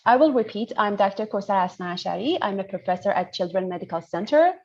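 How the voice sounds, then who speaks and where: A woman's voice: medium pitch, animated, fast talker, darkish tone. Iranian woman teaching a med class online, checks in a lot, muffled video call sound. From northern Iran. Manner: explanatory, checking understanding often. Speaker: lecturer, pediatrician. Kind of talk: interactive online medical lecture.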